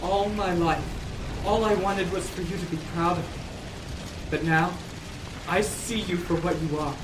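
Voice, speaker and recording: A man plays an earnest young son of a villain, speaking with heartfelt emotion. The noir audio-drama voice is distant and echoey, with clear noise behind it. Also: high voice, steady tone, a little slow. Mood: defiant. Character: earnest young son, remorseful, defying his villainous father. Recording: distant, echoey, noisy track with rain.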